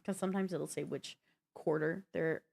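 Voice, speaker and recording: A woman's voice: sits low, steady tone, moderate pace, creaky, darkish tone. A woman co-hosting a gaming show, talking casually and explaining things at length with rambling asides. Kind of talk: casual explanatory chat about video games.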